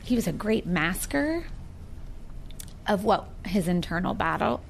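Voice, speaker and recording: A woman's voice: medium pitch, lively intonation, a little slow, vocal fry, slight background noise. An American woman in her forties talking earnestly and reflectively in a sincere personal conversation. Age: 40s. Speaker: participant in a recorded one-on-one conversation.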